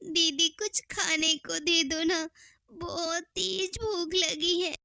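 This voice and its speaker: A woman's voice: very high voice, steady tone, slow, creaky, pushed and bright, full character voice. A woman voicing a needy, eager little girl in a cartoon story, in a childlike, whiny manner. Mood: hungry, pleading. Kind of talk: Hindi cartoon story dialogue.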